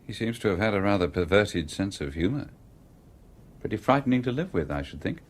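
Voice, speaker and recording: Gentleman stranger for radio mystery: lowish voice, highly animated intonation, wry, probing, always in control. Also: a little fast, slight background noise. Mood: cool, deliberate. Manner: urbane, interrogating, wry. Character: cool, probing male stranger, an engineer, coolly in control. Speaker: actor in a radio play. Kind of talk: radio mystery drama acting.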